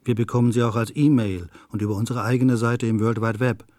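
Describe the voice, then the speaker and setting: A man reading a translated voice-over of an interview in a steady, plain way. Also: mid-range voice, fairly quick, no room sound, studio quality.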